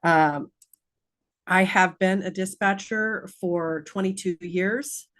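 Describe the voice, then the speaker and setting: A woman's voice: mid-range voice, slow pace, fairly bright tone. A middle-aged woman from California giving an informal presentation over a video call as a guest speaker, sounding tentative and a little nervous. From Sonoma County, California. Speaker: 911 dispatcher, guest speaker.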